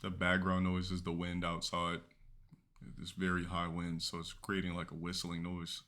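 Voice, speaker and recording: A man's voice: low-pitched, monotone, normal speed, fairly bright tone. A man streaming and reacting to clips, talking bluntly and thinking aloud with lots of swearing. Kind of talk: casual reaction commentary.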